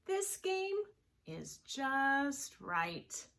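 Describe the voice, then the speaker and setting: A woman voicing a big, fussy, choosy male teddy bear in a children's picture book, with drawn-out pronouncements. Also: high-pitched, big pitch swings, creaky, fairly bright tone, voice pushed up, full character voice. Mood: contented.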